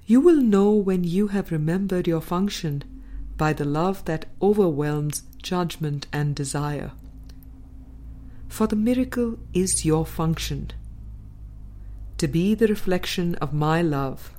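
A woman reading the words of God as a calm, loving, wise teacher in a parable, solemn and tender. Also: fairly low pitch.

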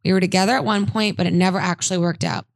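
A woman's voice: on the low side, fairly even intonation, racing, no echo, studio quality. A woman co-hosting a casual podcast, reading listener letters aloud with jokey asides. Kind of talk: casual chat.

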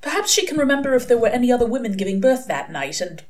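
A prim and proper male angel, voiced by a woman reading an audiobook, speaks with fussy politeness. His manner is mild and gently reproving, pitched a little above plain narration. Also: big pitch swings, fast talker. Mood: fussy, urgent.

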